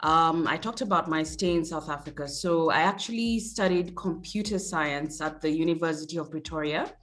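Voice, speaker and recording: Low-voiced woman on a video call. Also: moderate pace. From Kenya. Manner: relaxed, chatty, laughs easily. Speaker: interview guest. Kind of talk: casual video call interview.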